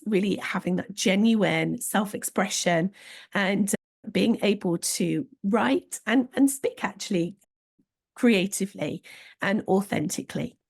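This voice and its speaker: A woman hosting an interview podcast, with a slightly squeaky voice today, introducing her guest in a friendly, measured way. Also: gravelly, a little mellow, husky. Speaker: podcast host.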